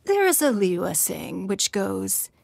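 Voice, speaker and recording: A woman voicing a poised, calculating, wealthy noblewoman in a fantasy video game, speaking in a measured, formal and haughty manner. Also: mid-range voice, sing-song, creaky, no room sound, exaggerated voice. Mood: cool, calculating. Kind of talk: video game character voice lines.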